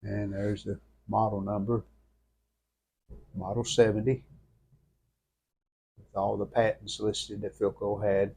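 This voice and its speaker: A man giving an unscripted hands-on restoration walkthrough in plainspoken, halting short phrases. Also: lowish voice, flat delivery, vocal fry, warm tone, a little hiss or hum. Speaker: radio restorer presenting own channel.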